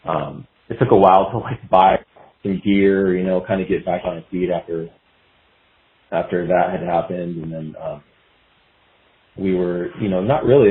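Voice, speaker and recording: A man answering casually in a podcast interview, telling his story in a loose, halting, reflective way. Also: low voice. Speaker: guest musician, guitarist. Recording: dull phone-like line, roomy echo.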